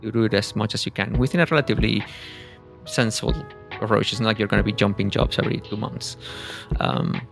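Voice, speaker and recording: A man's voice: on the low side, steady tone, fast, gravelly, soft background music. A man in his forties from Venezuela, a guest in a casual interview, talking thoughtfully and rambling with many restarts.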